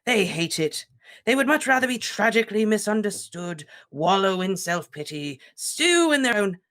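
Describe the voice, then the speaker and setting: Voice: mid-range voice, sing-song, moderate pace, fairly bright tone, pitched above the performer's own voice. A reader voicing a sly, sardonic old male headmaster in a portrait, with a mocking drawl.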